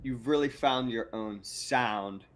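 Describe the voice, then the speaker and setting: A man in a middle pitch range who hosts a relaxed interview. He rambles, restarts his words, and keeps breaking into laughter as he talks. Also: slow. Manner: rambling, stammering, laughs often. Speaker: podcast host. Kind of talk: casual interview chat.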